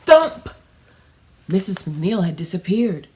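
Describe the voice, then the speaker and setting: A woman's voice: lowish voice, very animated, takes it slowly, warm tone. A woman storyteller reading a children's story aloud expressively.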